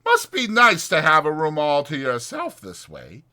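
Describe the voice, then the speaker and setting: An old swamper on a ranch in a dramatized audiobook. The voice is high and bright, timid yet eager, with big folksy swings in pitch. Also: male voice, wildly swooping pitch, a little slow, heavily acted. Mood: embarrassed, friendly. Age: older.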